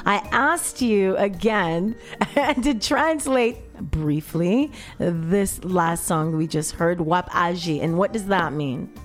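Casual female radio co-host, lowish voice. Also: animated, slow, few pauses, faint music behind. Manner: casual, repeats words as she searches. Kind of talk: radio interview hosting.

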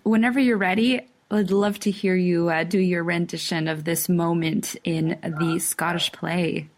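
A woman hosting a radio theater show, speaking in a measured, gentle way as she interviews a guest and signs off. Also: lowish voice, fairly even intonation. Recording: radio studio with music bed. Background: background music.

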